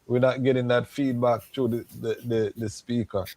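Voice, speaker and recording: A man hosting a live online talk show. He speaks informally, with plenty of fillers. His voice sits at a middle pitch, and the recording is dry. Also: little pitch movement, normal speed, dark tone, no echo. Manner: informal, conversational, with frequent fillers. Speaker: host. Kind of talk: casual live interview.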